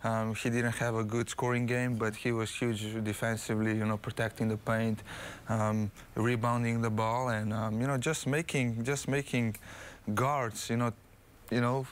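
A man in his thirties with a Slovene accent, a basketball player answering postgame questions modestly with many pauses and fillers. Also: mid-range voice, level tone, smooth. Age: 30s. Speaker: pro basketball player, guest. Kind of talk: postgame interview. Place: TV studio set.

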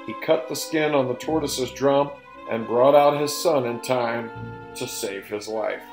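A middle-aged man narrating a folktale in a deliberate, emphatic, theatrical storyteller's delivery. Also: medium pitch. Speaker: storyteller and presenter. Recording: roomy echo with music behind.

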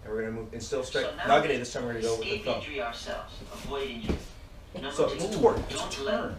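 A man's voice: medium pitch, sing-song, fairly quick, slight background noise. A man teaching martial arts in a casual, loose way, talking through moves with little sound effects as he demonstrates. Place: large indoor training room with mats. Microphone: fixed camera high in a corner, far from the speaker. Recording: echoey room, voice from far off.

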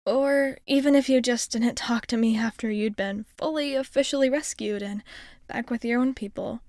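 Woman acting as cute shy alien girl in asmr roleplay, kinda high voice, hesitant and earnest, close dry mic. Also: normal speed, a little mellow, no echo, natural voice. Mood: earnest, grateful. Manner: hesitant, earnest, casual girlish phrasing. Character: friendly, shy young female alien crewmate.

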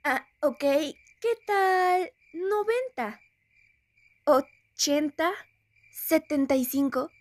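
Girl doing a sassy young fox huntress in a cartoon dub, really high voice, unhurried, stubborn n blunt. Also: no echo. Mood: haggling, pleading. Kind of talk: animated fan dub dialogue.

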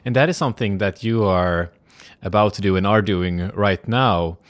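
A man's voice: fairly low pitch, moderate pace, no room sound. A man hosting a podcast, asking hesitant, searching questions. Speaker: podcast host. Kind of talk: interview questions.

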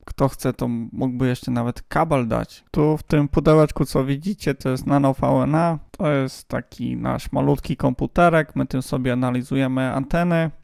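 Very creaky-voiced man explaining radio gear. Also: medium pitch, steady tone, normal speed, few pauses, darkish tone, no echo, clean studio sound. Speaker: amateur radio hobbyist host. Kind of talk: casual explanatory vlog talk.

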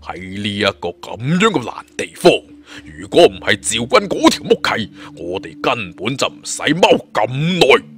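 A man's voice: mid-range voice, huge pitch swings, measured pace, a bit bright, slightly rough, full character voice. A man voicing a burly, boastful and menacing bandit villain in for an audiobook adventure tale. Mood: confident, menacing. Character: swaggering hot-tempered burly male bandit villain.

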